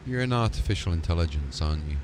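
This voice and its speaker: A man's voice: expressive, fast talker. A man role-playing as a ship's commander in conversation with game voices. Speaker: game player in character as ship commander. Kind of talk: role-played dialogue with game voice pack. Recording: clear ambient rumble behind.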